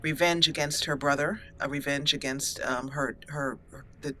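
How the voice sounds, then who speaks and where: Casting: female gaming host, bright forceful voice, theatrical roleplay narration, deliberate pauses, faint noise behind. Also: low-pitched, steady tone. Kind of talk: roleplay let's play narration.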